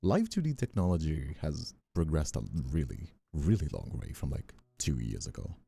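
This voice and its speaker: A man in a casual livestream chat, explaining things haltingly and repeating words as he goes. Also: a bass voice, wildly swooping pitch, creaky, mellow, husky. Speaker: VTuber streamer.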